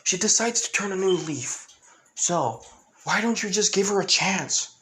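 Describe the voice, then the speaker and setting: A man's voice: sits high, animated, normal speed, bright, forceful tone, a little hiss or hum, pitched above the performer's own voice. A man doing an earnest young hero.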